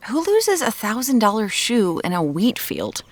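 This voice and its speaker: An actress playing a determined, blunt amateur detective in an audio drama, matter-of-fact and wry. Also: female voice, fairly high pitch, wildly swooping pitch, unhurried, heavily acted. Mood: wry, focused.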